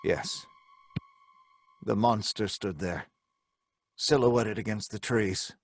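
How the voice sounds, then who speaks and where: A man's voice: lowish voice, slow, dry recording. A man playing a haunted, defiant scientist, with grave, dramatic delivery in old-time radio horror style. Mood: grave, tense. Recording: music under the voice.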